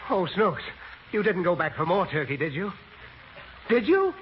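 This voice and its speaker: A man's voice: high-pitched, very animated, normal speed. An actor playing a flustered, cowardly, blustering father in theatrical 1940s radio comedy. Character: blustering, fretful, cowardly father, dreaming he's a turkey. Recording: noisy, dull vintage radio broadcast.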